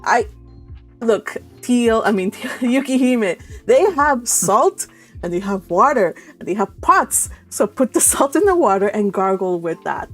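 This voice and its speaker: A woman hosting a casual livestream, chatting in an animated, teasing way. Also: fairly high pitch, unhurried. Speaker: host of a live read-along stream. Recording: music behind the voice. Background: music.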